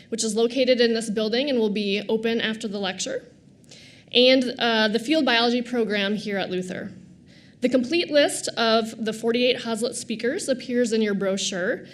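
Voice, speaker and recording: A woman's voice: a little high, normal speed. A woman faculty host making announcements before a lecture in a lecture hall, with frequent fillers. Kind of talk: event announcements.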